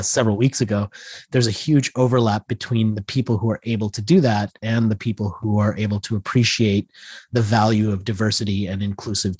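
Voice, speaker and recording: A man in his forties, a guest author, explaining his ideas in a measured way. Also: fairly low pitch, breathy edge, no room sound. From India, raised in the US. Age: 40s. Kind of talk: interview answers.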